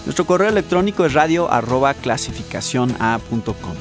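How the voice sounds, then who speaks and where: A man's voice: medium pitch, lively intonation, brisk, soft background music. A man hosting a conversational radio interview, welcoming and questioning in a talk-show style.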